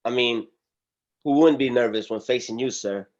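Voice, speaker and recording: A man's voice: medium pitch, moderate pace, a little mellow, dull audio, close to an everyday voice. A man playing a respectful, earnest mentor speaking up for a prisoner in a script read over a video call. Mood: earnest pleading. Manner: polite, earnest. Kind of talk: script table read acting.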